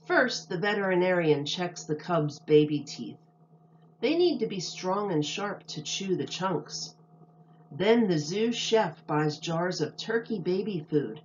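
A woman with a slightly low voice reads a children's book aloud like a teacher. She pronounces each phrase carefully and deliberately for young listeners. The recording is a little dull and lo-fi. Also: expressive, slight background noise.

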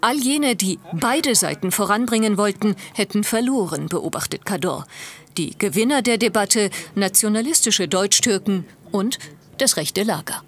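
A woman's voice: mid-range voice, moderate pace. Woman reading a news report voice-over. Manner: even, clear reporting delivery.

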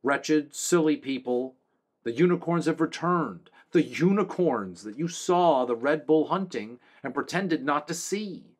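A man's voice: mid-range voice, expressive, unhurried, voice pushed up. Man voicing a stern young king. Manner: stern, declaiming.

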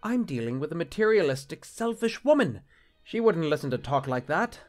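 A man's voice: on the high side, very animated, moderate pace. Guy doing a testy middle-aged bishop in a radio play, curt and proud, music underneath. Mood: indignant. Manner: curt, indignant. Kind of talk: radio-play style acting.

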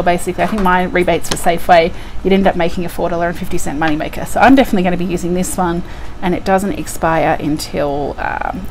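A young woman with a hoarse, croaky voice from a cold, chatting casually to the camera at home with lots of ums. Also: fairly low pitch, a little hiss or hum, poor audio. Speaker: couponing vlogger. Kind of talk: casual unboxing vlog. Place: indoors at home by a window. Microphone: lapel mic.

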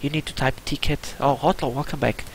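A man's voice: mid-range voice, fairly even intonation, fairly quick, low-quality recording. A young man from Portugal with a Portuguese accent, chatting casually as he streams a game. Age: young adult. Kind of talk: casual livestream chat. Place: indoor room. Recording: hissy, game audio behind. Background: video game dialogue.

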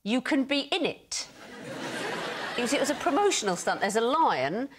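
Lively woman telling a comic story. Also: a little high, moderate pace, noisy background. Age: middle-aged. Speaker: panel show guest. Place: television studio.

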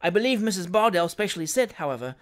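A man's voice: high voice, very animated, fast talker. A man voicing a smug, sly junior law partner in an audiobook, agreeing and needling with polite insistence. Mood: smug concurrence.

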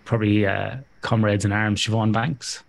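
A middle-aged man with a slightly low voice hosts a podcast from his home office. He chats and jokes casually, often restarting his sentences, heard through a headset mic on a video call. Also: little pitch movement, normal speed, creaky. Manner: chatty, joking, restarts often. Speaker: podcast host. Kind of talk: casual interview chat.

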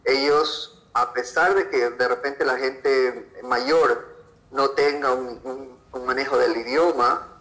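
Bright-voiced Ecuadorian man in interview. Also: medium pitch, steady tone, slow pace, poor audio. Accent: Ecuadorian Spanish. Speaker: podcast guest, freelance photographer. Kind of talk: conversational interview answers.

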